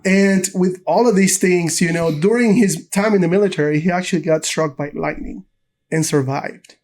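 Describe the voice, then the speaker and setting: A man's voice: sits high, normal speed, darkish tone. A man from Ecuador hosting a podcast in an enthusiastic, informal chat, addressing both his guest and the audience. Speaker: podcast host.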